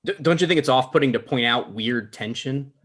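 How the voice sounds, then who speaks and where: A man playing an awkward, thin-skinned, lonely show host in a comedy table read, halting and defensive. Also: medium pitch, fairly bright tone, lightly acted.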